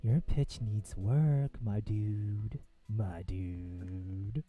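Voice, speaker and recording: Husky, reluctant teenage schoolboy, read by a man. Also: lowish voice, fairly even intonation, drawn-out words, narrow, tinny audio, voice pushed down. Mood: dry, unimpressed. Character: shy, reluctant male high school senior. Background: background game music.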